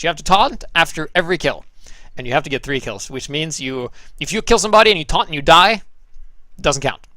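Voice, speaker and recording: A man's voice: on the high side, sharp tone, dry recording. A young man from Norway giving loose, profane, sarcastic commentary over gameplay replays as the show's host. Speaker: game commentator.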